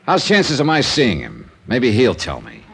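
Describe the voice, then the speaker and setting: An American actor playing a terse, probing, world-weary but fair insurance investigator in a 1950s radio crime drama. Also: male voice, mid-range voice, wildly swooping pitch, unhurried, creaky, fairly bright tone, full character voice. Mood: clipped, probing. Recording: old radio recording with music.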